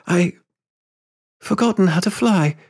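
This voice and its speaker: A man voicing a gentle, guilty grown woman who speaks in a faltering, apologetic way, in a storybook reading. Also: high voice, expressive, a little slow, husky, pitched above the performer's own voice, full character voice. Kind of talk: storybook character voice.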